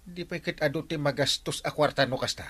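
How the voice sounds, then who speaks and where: An actor playing a fretful, exasperated village captain in a radio comedy drama, with an Ilocano accent. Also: male voice, on the high side, fast, sharp tone, husky.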